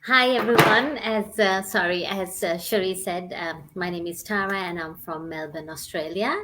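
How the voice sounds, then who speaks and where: A woman's voice: fairly low pitch, fairly even intonation, normal speed, heavy background noise. Hesitant woman sharing her story. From Sri Lanka. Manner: hesitant, many ums and uhs. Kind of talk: personal testimonial.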